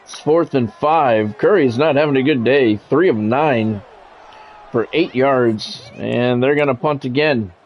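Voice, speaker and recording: A man's voice: medium pitch, a little slow, highs cut off. A man doing radio-style play-by-play over a college football video game, with an announcer's cadence that builds on big plays. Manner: switches between broadcast calls and chatting with viewers. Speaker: gaming streamer doing play-by-play.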